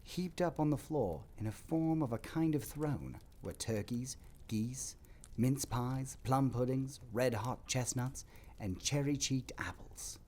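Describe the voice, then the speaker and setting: A man narrating a dramatic story from a script in an expressive, theatrical manner. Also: mid-range voice, slow pace.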